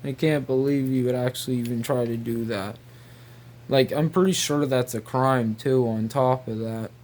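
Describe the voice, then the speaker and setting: A man rambling casually in a halting, repetitive, incredulous way. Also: mid-range voice, steady tone, a little mellow, slight background noise. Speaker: video creator.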